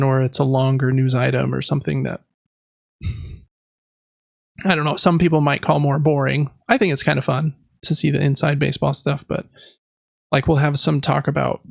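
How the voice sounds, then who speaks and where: A man's voice: a little high, creaky, dry recording, highs cut off. A man hosting a podcast, chatting casually and informally through gaming news. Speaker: podcast host. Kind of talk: casual video game news talk.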